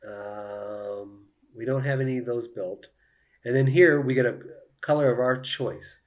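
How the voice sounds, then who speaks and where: A man's voice: medium pitch, a little slow. A man giving casual live commentary on a solo board game, thinking aloud and explaining each move to viewers.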